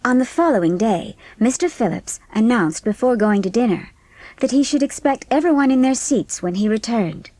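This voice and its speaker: A woman's voice: on the high side, lively intonation, moderate pace, warm tone, dry recording. A woman reading scripted audiobook narration of a story as its narrator.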